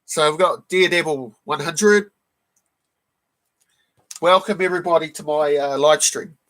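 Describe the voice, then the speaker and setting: A man hosting a casual live stream, croaky from a cold, who keeps clearing his throat and greets viewers in the chat one by one. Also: on the high side, unhurried, a bit bright. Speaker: live stream host showing comic books.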